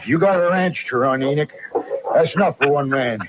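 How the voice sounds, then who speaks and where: An actor playing a stubborn, hostile hotel owner in a 1950s radio Western, curt, needling and threatening. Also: male voice, fairly high pitch, normal speed, natural voice. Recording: noisy, dull old radio recording.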